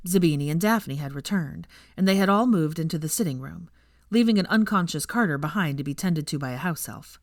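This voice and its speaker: A woman reading a story aloud in an expressive scripted narration style. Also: deep voice, a little fast, no room sound, clean studio sound. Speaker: fan fiction narrator.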